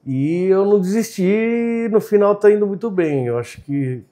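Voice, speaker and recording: Mellow-voiced chatty Argentine-born man. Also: high voice, lively intonation, moderate pace. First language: Spanish. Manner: open, chatty, laughs often, fillers like "né?". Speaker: chef and entrepreneur, podcast guest. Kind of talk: casual interview chat.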